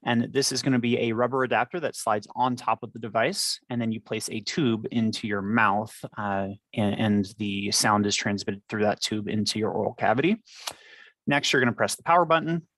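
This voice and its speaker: A man's voice: lowish voice, steady tone, moderate pace, a bit bright, dry recording. A man explaining how to use a device step by step in an instructional presentation.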